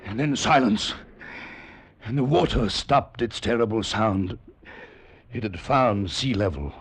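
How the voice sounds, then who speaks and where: A man's voice: lowish voice, lively intonation, unhurried, breathy edge, dry recording, exaggerated voice. An actor playing a desperate man who tells of his escape from a flooding mine in dramatic 1940s radio style. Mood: haunted, grim. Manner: dramatic, breathless first-person storytelling. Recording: old radio recording, loud dramatic music.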